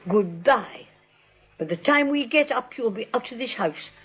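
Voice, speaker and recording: A woman's voice: fairly high pitch, expressive, a little slow, a bit bright, slightly rough, heavily acted. An older woman playing a genteel, stubborn, dotty old aunt in old-time radio comedy, prim and matter-of-fact. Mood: firm, indignant. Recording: dull vintage radio recording.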